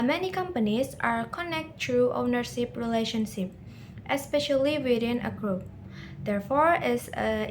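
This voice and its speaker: High-voiced young Indonesian woman presenting. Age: young adult. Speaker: accounting student presenter. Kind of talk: rehearsed slide presentation. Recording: slight background noise.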